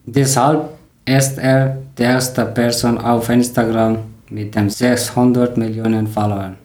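Mann aus Afghanistan als Podcast-Moderator, vorsichtiges Lerner-Deutsch. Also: male voice, medium pitch, flat delivery, a little mellow, echoey room. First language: not German. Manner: careful, learner grammar, slightly halting. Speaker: podcast host. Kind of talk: reading prepared talk in learner German.

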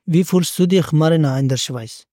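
A man's voice: fairly high pitch, normal speed, dark tone, no echo, studio quality. A man, a student guest giving earnest, prepared answers on a school podcast. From Afghanistan. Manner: earnest, reads prepared sentences with some fillers.

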